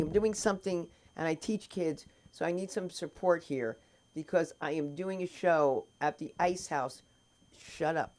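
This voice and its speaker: A woman hosting an open mic with casual, teasing, scattered banter. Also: medium pitch. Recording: noisy live open mic room.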